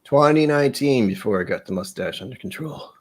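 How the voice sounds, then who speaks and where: A man's voice: mid-range voice, expressive, a little fast. A man hosting a whiskey tasting livestream, chatting casually in a jokey, rambling, self-deprecating way. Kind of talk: casual chat.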